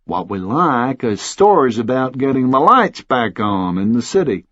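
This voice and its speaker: A man voicing a cold, formal Texan official in an audiobook, measured, condescending and threatening. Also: medium pitch, very animated, a bit bright, dry recording, dull audio.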